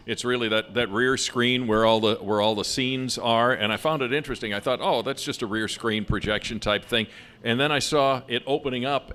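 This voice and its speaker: A man's voice: mid-range voice, fairly bright tone. A man hosting an audience Q&A in a theater, rambling and restarting his questions. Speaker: host of a post-show audience talkback. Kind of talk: casual moderated Q&A.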